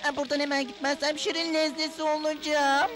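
A woman's voice: sits high, level tone, fairly quick, close to an everyday voice. A woman voicing a sporty, grumbling but good-hearted little male smurf in a cartoon dub. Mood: weary, self-pitying. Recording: music under the voice.

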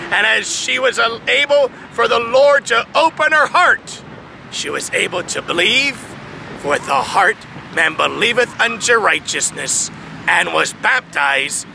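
High-voiced emphatic man preaching outdoors. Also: gravelly. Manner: emphatic, preaching with swells and drops, reads verses aloud. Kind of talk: outdoor sermon reading scripture. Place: large open field outdoors. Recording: outdoor traffic noise behind. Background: distant traffic noise.

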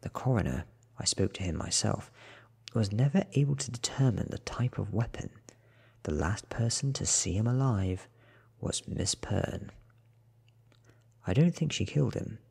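A man in his thirties reading a book aloud in a soft, half-whispered ASMR style, with hushed, deliberate phrasing close to the mic. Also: on the low side, lively intonation, gravelly, husky. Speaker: audiobook reader.

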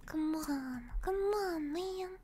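A young woman hosting an ASMR stream, chatting playfully in a soft, breathy, girlish voice that often slips into a whisper, close on a binaural microphone. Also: high voice, very slow. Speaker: ASMR livestream host. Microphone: binaural ear microphone.

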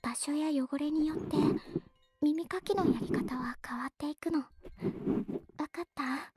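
A woman playing a gentle, doting older sister in an anime ASMR roleplay, speaking softly and breathily, often in a whisper. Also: high voice, unhurried, very noisy. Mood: soothing, doting.

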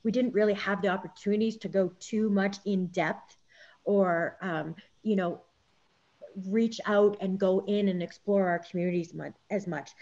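A woman journalist on a panel over a video call, reflective and halting, with many fillers. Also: mid-range voice, darkish tone, highs cut off. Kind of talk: panel discussion.

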